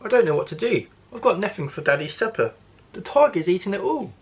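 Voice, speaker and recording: A man's voice: high-pitched, big pitch swings, fast talker, dull audio, pitched above the performer's own voice. A man voicing a kindly, polite young mother from a children's storybook, musing aloud. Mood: worried.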